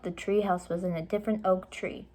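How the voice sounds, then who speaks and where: A woman's voice: lowish voice, fairly even intonation, a little fast, darkish tone. A woman reading a children's storybook aloud, in simple, explanatory style for young listeners.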